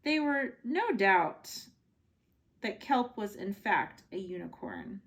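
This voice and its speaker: A woman's voice: mid-range voice, expressive, slow pace, gravelly. A woman reading a children's picture book aloud as a bedtime story, expressively and with short asides. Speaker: storybook reader.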